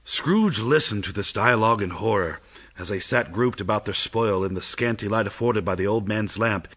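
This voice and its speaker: An actor as the grave narrator of a Victorian tale, giving scripted dramatic narration in a husky voice, in slightly dull audio. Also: male voice, lowish voice, moderate pace, a bit bright.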